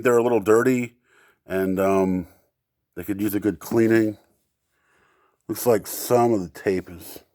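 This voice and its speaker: A man with a fairly low voice walks through used equipment he is selling, casual and unscripted. He thinks aloud, often halting and unsure mid-sentence. The sound is very dry, with no room echo. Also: a little mellow. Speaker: seller demonstrating used equipment.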